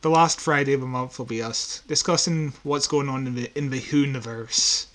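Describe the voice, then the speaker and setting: A man's voice: medium pitch, fairly even intonation, moderate pace, fairly bright tone. A man co-hosting a casual, unscripted podcast, explaining things in a light-hearted, self-deprecating way. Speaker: podcast co-host.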